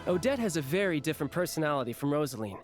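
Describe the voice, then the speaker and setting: An easygoing young warrior turned diplomat in an anime game. His voice sits a bit high, and he talks in a breezy, sincere, reassuring way. Music plays clearly behind him. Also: sing-song, rapid-fire.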